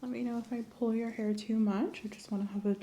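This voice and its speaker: Soft, mellow woman whispering in ASMR. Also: fairly high pitch, fairly even intonation, quick pace, dull audio, a little hiss or hum. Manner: soft-spoken, often whispering, gentle, clinical. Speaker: ASMR performer playing a medical examiner. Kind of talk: ASMR medical exam roleplay.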